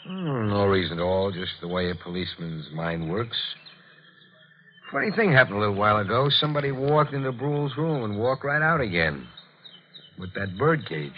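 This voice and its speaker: A man's voice: lowish voice, expressive, normal speed, natural voice. An American man acting as a shrewd police lieutenant in a 1940s radio mystery, folksy and offhand while he needles his suspect. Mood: sly, casual. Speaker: actor in radio drama. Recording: dull noisy vintage radio recording.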